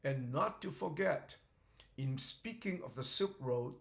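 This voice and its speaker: A man in his seventies giving a reflective lecture, speaking in short halting phrases and often repeating words as he searches for them. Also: mid-range voice, lively intonation, creaky, fairly bright tone. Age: 70s. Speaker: author presenting his theory.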